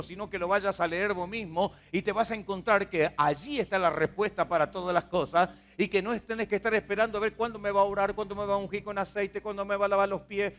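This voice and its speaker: A man's voice: sits high, a bit bright. A man with a Rioplatense Spanish accent preaching a sermon as a pastor, conversational and repetitive, putting questions to his listeners. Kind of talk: sermon to a congregation.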